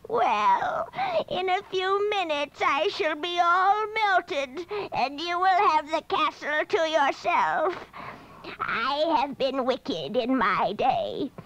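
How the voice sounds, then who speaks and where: A man doing a shrill, slow wicked old witch. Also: lively intonation, bright, forceful tone, full character voice, poor audio. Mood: wailing. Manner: slow drawn-out cackling delivery.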